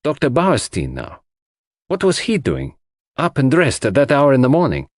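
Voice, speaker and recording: A man voicing a shrewd, courteous, theatrical detective in an audiobook, speaking formally and deliberately. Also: mid-range voice, huge pitch swings, no echo, clean studio sound, pitched above the performer's own voice. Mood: shrewd, skeptical. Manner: formal, grave, deliberate, questioning. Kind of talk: audiobook character dialogue.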